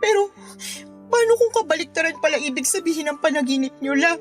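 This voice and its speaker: A young granddaughter in a radio drama, devoted yet wounded. Her voice is high and earnest, over faint music. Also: expressive, fast talker. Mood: hurt, bitter. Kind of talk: Tagalog radio drama acting.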